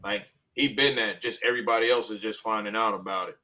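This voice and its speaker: An American man talks as a panelist on a casual sports show. His voice is on the low side but sharp and forceful. He is emphatic, stacking repeated phrases to stress each point. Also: fairly even intonation, fast talker, rough recording.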